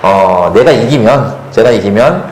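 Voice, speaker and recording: A man moderating a panel talk concert, relaxed and joking, filling pauses with hums and affirmations. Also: fairly low pitch. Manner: relaxed, jokey, lots of fillers and affirming hums. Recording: echoey hall with faint noise.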